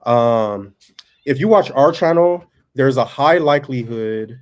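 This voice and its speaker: A man with a monotone voice chatting casually to viewers as host of a family farm vlog, laid-back and folksy. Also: medium pitch, unhurried.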